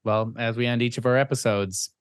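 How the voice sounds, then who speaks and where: A man's voice: medium pitch, steady tone, brisk. A man hosting a podcast interview in a conversational way, earnest and appreciative toward others. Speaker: podcast host.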